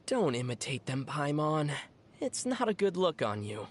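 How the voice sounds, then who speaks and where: A woman voicing a calm, sensible young female adventurer in a video game, in a wry, measured and thoughtful way. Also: low voice, a little hiss or hum, exaggerated voice. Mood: wry, teasing.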